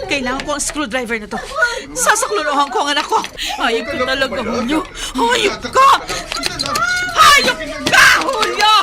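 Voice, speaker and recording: A woman's voice: sits high, very animated, normal speed, shouting, heavy background noise. A woman playing an anguished, vengeful, protective mother in an emotional radio melodrama. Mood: enraged, anguished. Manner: emotional, stern, declaiming. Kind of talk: Tagalog radio melodrama acting.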